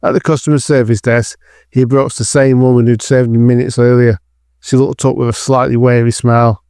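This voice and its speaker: A man's voice: medium pitch, flat delivery, a little fast, darkish tone, clean tone, no echo, studio quality. A man reading scripted narration for an audio drama as its narrator.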